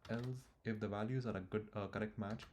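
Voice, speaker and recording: Low-voiced man talking through code. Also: fairly even intonation, fairly quick, noisy background. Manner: thinks aloud while typing, frequent fillers and restarts. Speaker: coding tutor. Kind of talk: screen-recorded coding walkthrough.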